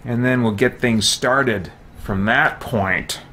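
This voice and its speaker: A man's voice: medium pitch, slow, pushed and bright, a little hiss or hum. A man doing casual gameplay commentary as a streamer, with a goofy, repetitive way of talking to himself. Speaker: video game livestreamer.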